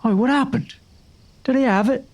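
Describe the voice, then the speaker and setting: A woman's voice: high-pitched, moderate pace, darkish tone. A woman voicing a brash, foul-mouthed male outlaw sidekick, blunt and slangy, in a western audiobook. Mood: urgent, curious. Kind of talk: western audiobook character acting.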